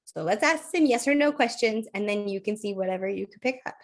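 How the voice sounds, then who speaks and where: Woman, podcast co-host, casual and talkative, laughs a lot, slight croak. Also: medium pitch, fairly quick. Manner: chatty, laughs often, thinks aloud with fillers. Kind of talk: casual chat.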